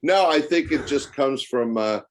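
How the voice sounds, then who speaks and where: A man's voice: medium pitch, lively intonation, a little slow. An older man, a talkative podcast guest and former club owner, speaking conversationally in an interview and telling anecdotes.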